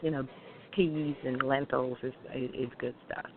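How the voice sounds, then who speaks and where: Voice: mid-range voice, steady tone, unhurried. A middle-aged restaurant owner from Guyana, answering interview questions in a friendly, chatty way. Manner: friendly, explains dishes at length with fillers. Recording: tinny phone-like line with faint hiss.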